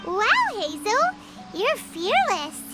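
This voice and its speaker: A woman voicing a tiny, childlike, excitable and easily scared fairy companion in a video game, with a squeaky, cutesy delivery. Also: slow, heavily acted. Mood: excited. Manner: speaks of self in third person, cutesy, expressive. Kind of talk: English video game voice acting. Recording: game music loud behind.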